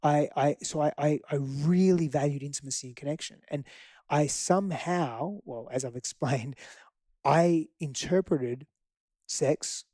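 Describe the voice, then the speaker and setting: A man's voice: fairly high pitch, a little slow, warm tone, dry recording, studio quality. Greek-born man, reflective and earnest. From Greece. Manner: reflective, earnest, frequent restarts. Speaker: guest. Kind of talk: candid personal storytelling.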